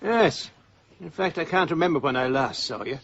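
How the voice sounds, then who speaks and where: An actor playing a blunt, ailing middle-aged down-and-out man with a rough, husky voice in a radio comedy-drama. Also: a little high, expressive, moderate pace, a bit bright, dull audio, a little hiss or hum. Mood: affable. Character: blunt, ailing down-and-out ex-drinker, an estranged husband.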